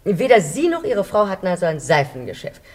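Radio drama role: stern, prying housewife, low-pitched, haughty, precise interrogator. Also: female voice, big pitch swings, brisk, darkish tone. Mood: suspicious, probing.

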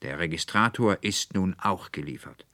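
A man's voice: deep voice, expressive, normal speed, pushed and bright, dry recording. A man voicing an irascible middle-aged schoolmaster in a storybook reading, blustering and sputtering as he exclaims. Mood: indignant, malicious.

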